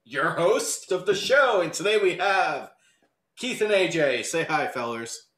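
Brief: male debate host, lively high-ish delivery, jokey and sweary, roomy mic with faint noise. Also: unhurried. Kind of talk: casual comic moderating.

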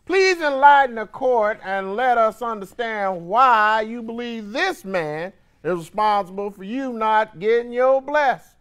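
Comedy judge, played by a man, middle-aged, high clear voice, formal and a bit distracted. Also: expressive, a little slow, a bit bright. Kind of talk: sketch comedy acting. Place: courtroom set indoors.

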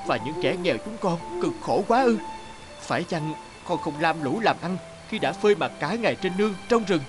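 A man's voice: on the high side, animated, moderate pace, over music, close to an everyday voice. Man playing a humble, plaintive poor villager. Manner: meek, plaintive, naive. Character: humble, earnest poor young villager, husband and father. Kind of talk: Vietnamese fairy-tale cartoon dubbing.

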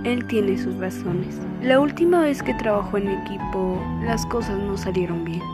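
A woman's voice: mid-range voice, moderate pace, over loud music, close to an everyday voice. A woman voicing a shy, easily embarrassed young human in a comic fan dub, hesitant and repeating words. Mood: earnest.

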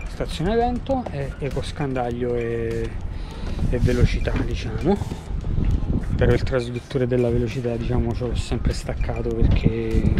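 A middle-aged man giving a casual tour of his sailboat, hesitant, with many fillers and restarts, filmed outdoors in a harbor on a handheld camera. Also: mid-range voice. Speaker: sailor giving a boat tour. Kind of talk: casual walkthrough vlog. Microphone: handheld action camera at arm's length.

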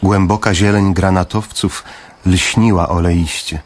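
A man narrating a literary radio drama in the first person, in a measured, somber manner. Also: low voice, steady tone.

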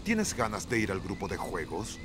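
A man's voice: low voice, huge pitch swings, moderate pace, background noise. A man voicing an easygoing, reassuring daddy pig in a children's cartoon. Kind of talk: children's cartoon dub acting.

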